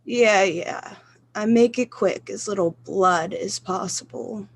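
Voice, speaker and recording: A woman's voice: mid-range voice, takes it slowly, slightly rough. A woman playing a cold-blooded male hired killer in a suspense radio play, matter-of-fact and reassuring. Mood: cold, businesslike.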